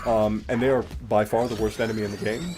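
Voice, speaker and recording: A man's voice: lowish voice, fairly even intonation, quick pace, music in the background. A man giving casual, explanatory game commentary as a let's play host, swearing casually now and then.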